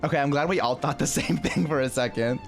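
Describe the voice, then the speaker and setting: A woman's voice: sits low, fast, over music. A woman streaming a game, giving chatty, emotionally invested live reactions in a casual style. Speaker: streamer playing a story game.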